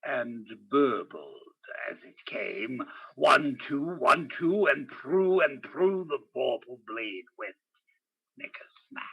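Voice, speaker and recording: A grand old-time radio storyteller, acted by a man, recites verse with theatrical drama. The delivery is slow and swoops widely in pitch. The voice is rough and husky, moving between hush and roar. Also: animated, dull audio, exaggerated voice. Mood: suspenseful. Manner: dramatic, swings from whispers to shouts.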